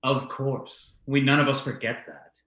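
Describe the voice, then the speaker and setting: An actor playing a mocking, reckless brother in an old-time radio gothic drama. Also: male voice, medium pitch, a little slow, a bit bright, roomy sound, close to an everyday voice. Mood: falsely jovial.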